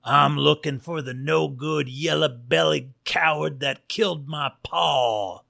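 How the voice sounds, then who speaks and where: A man's voice: a little high, takes it slowly, vocal fry, pushed and bright, heavily acted. Man doing a mean young western wolf gunslinger. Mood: menacing. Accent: American. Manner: menacing old-west drawl. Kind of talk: western storybook character voice.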